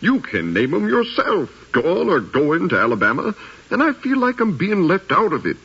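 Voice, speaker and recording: An actor playing a restless, eager planter's son in a 1950s radio drama, earnest and pleading. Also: male voice, high voice, wildly swooping pitch, moderate pace, a bit bright, husky, exaggerated voice. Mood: restless yearning. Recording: dull 1950s radio recording.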